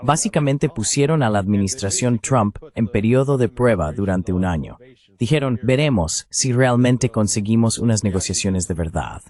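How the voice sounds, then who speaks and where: A man's voice: lowish voice, lively intonation, normal speed, a little mellow. A man reading a translated voice-over of a political commentator in an interview.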